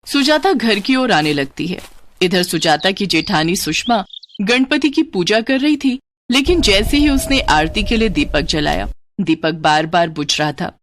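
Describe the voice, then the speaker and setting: A woman's voice: mid-range voice, lively intonation, unhurried, a little hiss or hum. A woman narrating a scripted moral cartoon story in a dramatic storytelling style.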